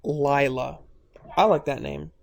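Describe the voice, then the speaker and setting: A man's voice: fairly high pitch, fairly even intonation, slow, creaky, darkish tone. A man giving casual, blunt commentary while watching a movie. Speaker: film commentator.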